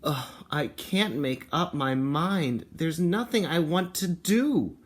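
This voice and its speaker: A man speaking as a kindergarten teacher, reading and explaining to young children in an expressive, encouraging way with simple phrasing. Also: a little high, takes it slowly. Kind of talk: picture book read-aloud and talk to children and parents.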